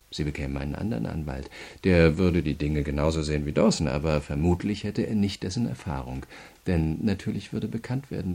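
Deep, gravelly, relentless prosecutor. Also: male voice, darkish tone, full character voice. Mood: calculating, persuasive. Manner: measured, methodical, coldly polite. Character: cool, relentless male state prosecutor pressing a prisoner. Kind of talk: German radio crime drama acting.

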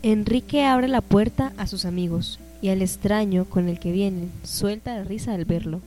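A woman's voice: medium pitch, normal speed, over music. A Colombian woman giving scripted narration for a radio drama.

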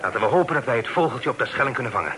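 An actor in a radio play as a helpful, resolute well-to-do gentleman with a polite, reassuring manner. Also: mid-range voice, animated, racing, crowd noise, lightly acted. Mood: encouraging. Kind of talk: radio drama acting. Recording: noisy old radio play recording.

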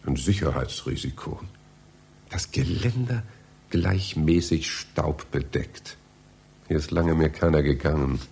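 Deep-voiced, pedantic library reader. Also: male voice, a little slow, a little mellow, slight background noise. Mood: muttering, bewildered. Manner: theatrical, pedantic, muttering to himself. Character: fussy, bewildered male library reader, pompous and grumbling. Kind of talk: radio play acting.

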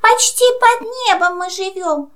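A woman's voice: very high voice, measured pace. A woman playing a small, earnest young kitten in a children's audio play, speaking childlike verse. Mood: earnest compassion.

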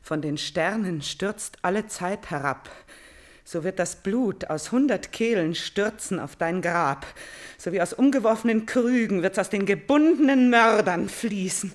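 An actress playing a grieving, vengeful princess in a classical tragedy radio play, with intense, measured delivery. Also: female voice, mid-range voice, expressive, close to an everyday voice. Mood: impassioned, vengeful. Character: Elektra, grieving, vengeful princess calling to her murdered father.

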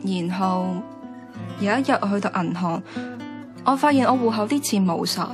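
A woman's voice: mid-range voice, animated, moderate pace, music in the background, natural voice. An actress with a Hong Kong Cantonese accent playing a frank, idealistic young woman in colloquial radio drama dialogue. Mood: rueful.

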